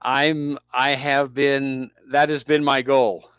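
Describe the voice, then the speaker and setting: Older american guy talking about teaching languages, smooth resonant voice, dry sound. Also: medium pitch, flat delivery, takes it slowly, a bit bright, no room sound. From United States. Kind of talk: interview conversation.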